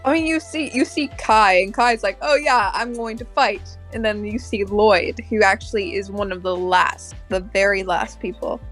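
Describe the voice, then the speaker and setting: Woman podcast co-host just chatting fan stuff, mid pitch, bit of music behind. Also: moderate pace, few pauses, a bit bright. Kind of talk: casual fan discussion.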